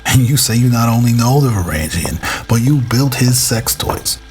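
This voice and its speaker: A man's voice: mid-range voice, animated, moderate pace, a little mellow, soft background music, lightly acted, poor audio. A man playing a cynical, sarcastic space outlaw in an amateur sci-fi radio drama. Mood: skeptical, mocking.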